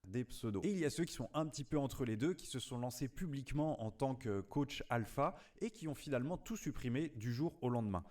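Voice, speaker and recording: A man's voice: medium pitch, expressive, a little fast, hardly any pauses, darkish tone. A man reading scripted narration with a dry, sarcastic edge. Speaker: video narrator.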